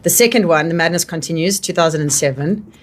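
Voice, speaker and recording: A woman's voice: fairly low pitch, fast talker. A woman interviewing a guest on radio in a casual, chatty style.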